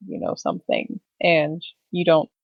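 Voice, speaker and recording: A woman's voice: low voice, very animated, a little slow, vocal fry, dry recording. A woman from New England chatting casually as a player in a tabletop game, on slightly muffled audio. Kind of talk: casual chat during a game.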